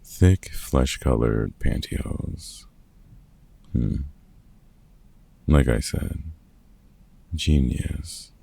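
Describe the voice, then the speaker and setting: Very deep, gravelly vampire hunter played by a man. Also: lively intonation, mellow, heavily acted. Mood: awkward, supportive. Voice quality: gravelly, husky low voice. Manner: casual, teasing, sweary, halting. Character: gruff, protective older male vampire hunter mentor, dryly fond. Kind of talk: comfort ASMR audio roleplay acting.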